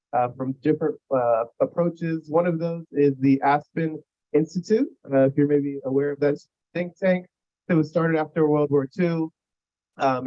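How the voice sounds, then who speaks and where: A man's voice: a little high, no echo, lo-fi sound. A man hosting a conference, introducing the next keynote speaker in a casual, friendly way with many fillers. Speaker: event host introducing speakers.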